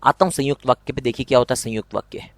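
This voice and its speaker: A man's voice: medium pitch, fairly even intonation, very fast, no echo. A young man with a Rajasthani accent teaching an exam prep lesson, repeating key points and asking students rhetorical questions.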